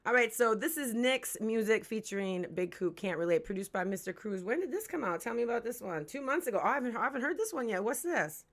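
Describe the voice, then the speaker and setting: A woman's voice: a little high, sing-song, quick pace, hardly any pauses. A woman in her forties hosting a casual hip-hop interview livestream, chatty, candid and slangy, swearing freely. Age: 40s. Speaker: host of a music review livestream.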